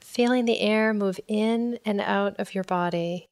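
A woman leading a guided body scan meditation in a calm, measured, instructional way. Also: mid-range voice.

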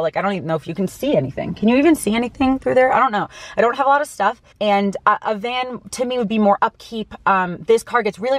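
A woman's voice: medium pitch, a bit bright, dry recording. An American woman in her early thirties talks casually and earnestly to camera as a vlogger, stressing her points, recorded inside a small parked car. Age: early 30s.

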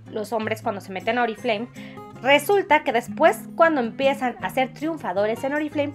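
A woman with a Mexican accent giving a casual explainer voice-over, enthusiastic and chatty, speaking straight to the listener. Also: fairly high pitch. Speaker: network marketing recruiter and presenter. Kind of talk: casual explainer voice-over for drawn whiteboard video.

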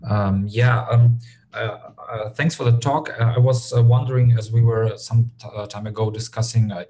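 A man asking a question on a call. He speaks haltingly, with many "uh" fillers. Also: fairly low pitch, warm tone. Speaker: audience member asking a question. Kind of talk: casual question.

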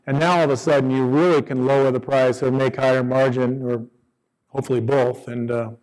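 A man's voice: mid-range voice, level tone, a little fast, darkish tone, poor audio. A man on a conference panel in a conference room, explaining costs and margins in a matter-of-fact way. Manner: explanatory, business-focused. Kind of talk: panel discussion.

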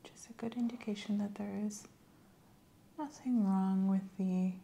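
A woman speaking in a gentle, measured, clinical way, often dropping to a whisper, in a soft ASMR medical exam roleplay. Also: medium pitch, steady tone, creaky. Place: small indoor room set up as an exam office. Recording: faint background noise.

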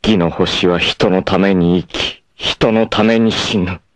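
An actor playing a proud, doomed martial-arts warrior in an anime, tender and self-sacrificing, with solemn, theatrical delivery. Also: male voice, low-pitched, steady tone, takes it slowly, dry recording. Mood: serene, resigned. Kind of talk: dramatic anime acting, monologue and narration.

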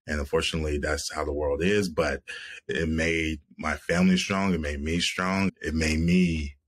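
A man's voice: a bass voice, fairly even intonation, moderate pace. A man in his thirties, an actor guest on a video call, speaking earnestly and reflectively with frequent restarts. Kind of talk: reflective interview talk. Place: room at home, video call.

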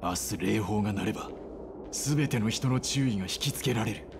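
A man's voice: lowish voice, moderate pace, gravelly, noisy background. A man voicing a stern, controlled conspirator in a Japanese video game. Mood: cold, stern.

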